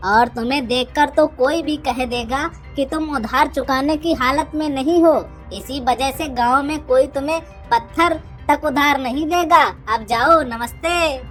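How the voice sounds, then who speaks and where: A woman's voice: high voice, measured pace, hardly any pauses, soft background music. A woman voicing an arrogant, spiteful, boastful village headman, a cunning younger brother in a Hindi cartoon story. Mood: scornful. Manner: boastful, scornful.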